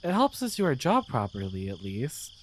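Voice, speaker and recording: A man playing a gentle, devoted guardian angel in a romantic ASMR roleplay, speaking in a tender, hesitant, reassuring way. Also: medium pitch, huge pitch swings, normal speed, slight background noise. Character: gentle, devoted male guardian angel in love with his human.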